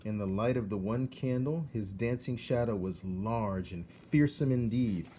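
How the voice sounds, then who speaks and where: A man narrates a storybook in a fairly low voice. He takes it very slowly, with measured phrasing and dramatic pauses, over faint background noise. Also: mellow. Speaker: read-aloud narrator.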